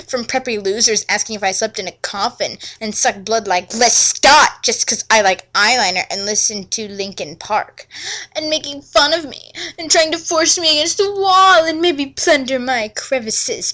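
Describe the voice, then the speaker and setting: A woman's voice: a little high, sharp tone. A woman narrating a mocking dramatic reading of fanfiction with theatrical, exaggerated emphasis.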